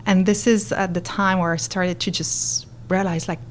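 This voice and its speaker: A woman's voice: on the low side, normal speed, slight background noise. A middle-aged woman from France with a French accent, animatedly telling her story as an interview guest. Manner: animated, expressive, acts out quotes.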